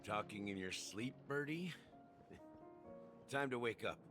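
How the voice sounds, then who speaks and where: Male trickster acted, sly, wry, confident, teasing, lively intonation, game drama, music behind. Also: mid-range voice, unhurried, close to an everyday voice. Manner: wry, taunting, casual.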